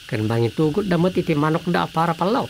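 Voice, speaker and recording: A man's voice: fairly high pitch, expressive, quick pace, a little hiss or hum. A man playing a self-assured village officer-in-charge in a comedic radio drama, commanding and matter-of-fact. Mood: plotting.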